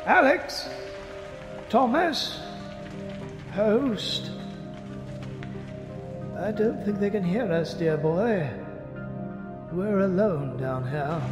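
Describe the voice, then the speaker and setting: A reverberant, high-pitched gentleman inventor, acted by a man, fussy and old-fashioned, for a steampunk audio drama. His excitable delivery swings up and down, with music behind. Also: extremely slow, pauses often, exaggerated voice. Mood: earnest, fussy. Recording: echoey reverb with loud background audio.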